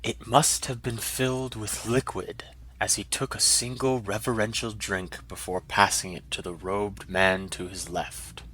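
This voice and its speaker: A man's voice: lowish voice, fairly even intonation, a little slow, sharp tone, a little hiss or hum, rough recording. A man narrating a scripted fantasy story in a dramatic style.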